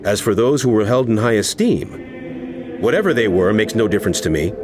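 An actor playing an impassioned apostle in a dramatized audio Bible, speaking in a solemn, emphatic, oratorical way. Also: male voice, fairly low pitch, expressive, fairly quick, natural voice. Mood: earnest recounting. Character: impassioned apostle writing a stern, earnest letter. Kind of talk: dramatized scripture reading over music. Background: background music.

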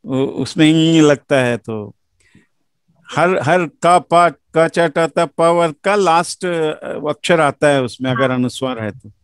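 A man's voice: a little high, takes it slowly, clean tone, no echo, studio quality. A man in the class thinking aloud hesitantly in broken fragments, speaking as a student.

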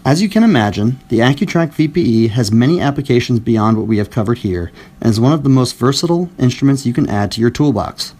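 A man's voice: medium pitch, fast talker, hardly any pauses. Man narrating a product voice-over. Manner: clear, even explanatory delivery.

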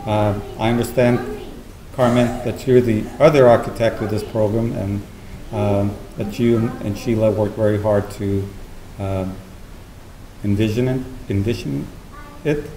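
A middle-aged man with a fairly low, mellow voice gives formal public remarks. He speaks haltingly into a podium microphone, and the room echoes around him. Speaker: library official giving welcome remarks at a podium. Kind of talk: formal public speech with thanks and introductions. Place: large meeting hall with seated audience, indoors. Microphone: podium gooseneck microphone. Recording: roomy auditorium sound, faint noise.

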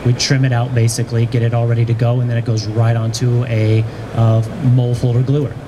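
A man's voice: medium pitch, completely flat delivery, a little fast. A young man explaining a process conversationally as a panelist, into a handheld microphone in a large exhibition hall. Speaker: panelist, print business owner. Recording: noisy trade show floor, ventilation hum.

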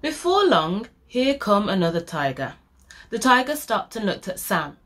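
A woman's voice: medium pitch, sing-song, normal speed, fairly bright tone, slight background noise. Young woman reading a children's story aloud. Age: young adult. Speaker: storybook reader. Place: small room at home, indoors.

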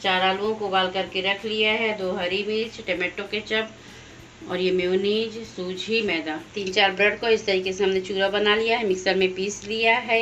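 A woman hosting a home cooking channel, calmly explaining each step of a recipe as she goes. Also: mid-range voice, a little hiss or hum. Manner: plain, instructive, walks through each step. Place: home kitchen at the stove. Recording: slightly dull lo-fi sound with faint music.

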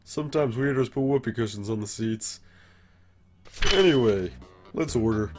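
A man's voice: mid-range voice, normal speed, over music, lightly acted. A man voicing a laid-back skeleton joker with a hidden menace, talking in a casual, wry drawl in a cartoon comic dub. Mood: easygoing, joking.

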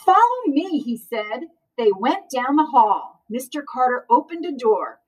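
A woman's voice: fairly high pitch, lively intonation, a little slow, a little mellow, slightly rough. A middle-aged woman reads a children's picture book aloud expressively, with sing-song emphasis and chatty questions to young listeners. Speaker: teacher or librarian reading story time to pupils. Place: school library, indoors. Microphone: far camera across the room. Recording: distant microphone in a room.

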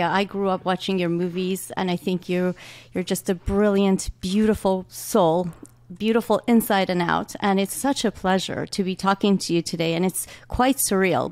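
A woman in her forties from Iran speaks with a light Iranian accent, her voice carrying a gravelly edge. She talks earnestly and warmly as a guest doctor, close on a studio microphone in a small room. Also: medium pitch. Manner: earnest, warm, laughs often. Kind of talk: casual interview talk. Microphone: large studio mic with headphones.

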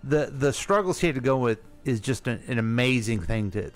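A man's voice: mid-range voice, expressive, fairly quick. A man co-hosting a radio show in casual, informal conversation. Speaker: radio co-host asking questions.

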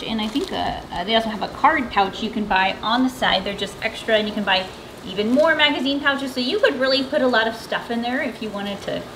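A woman's voice: on the high side, background noise. An American woman in her thirties giving a casual, conversational product review to camera as host. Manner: conversational, informal. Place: backyard patio and home kitchen.

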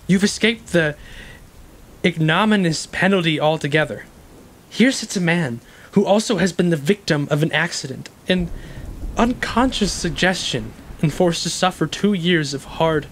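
Man playing embittered former prisoner, male, higher-pitched, intense, halting, emphatic, audio drama, faint rain ambience. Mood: shrewd, pressing. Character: bitter, wounded male ex-convict, cunning and intense. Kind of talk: stage-play dialogue in an audio drama. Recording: rainy ambience and faint noise.

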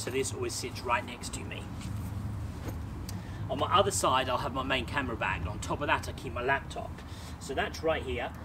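A man in his thirties talks casually and cheerfully, explaining things one step at a time. His voice sits in a middle register. He's recorded outside in a small garden by a camera a few feet away, with clear outdoor noise and passing traffic behind him. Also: medium pitch. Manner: chatty, upbeat, explaining step by step. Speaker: sports photographer and vlogger. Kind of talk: casual gear walkthrough. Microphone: camera mic on a tripod, a few feet away. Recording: outdoor camera audio with noticeable background noise.

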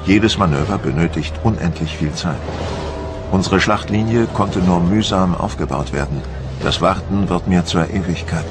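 An actor playing a resolute, proud French admiral of Napoleon's navy in a documentary radio play, speaking in solemn, formal monologue. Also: male voice, sits low, normal speed, over music, natural voice. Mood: tense, weary. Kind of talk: dramatised first-person documentary monologue in German.

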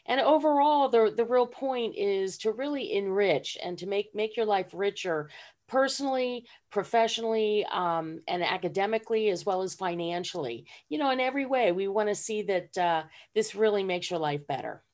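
A woman's voice: mid-range voice, expressive, normal speed, a little mellow. A woman teaching ESL who describes her classes in an online presentation, hesitating with frequent fillers. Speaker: ESL instructor. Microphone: video call.

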